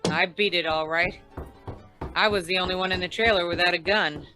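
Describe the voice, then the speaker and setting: A woman's voice: on the low side, fairly even intonation, a little fast, music in the background, lightly acted. A woman playing a wry, hard-boiled insurance investigator, narrating an old-time radio noir in slangy style. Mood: tense, punchy.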